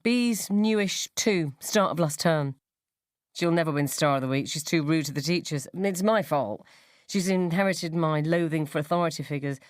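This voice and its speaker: A woman's voice: low-pitched, normal speed, no echo, studio quality, lightly acted. A woman playing a wry, blunt, resentful mother of a rebellious daughter in a radio story. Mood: wry pride. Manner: blunt, sardonic.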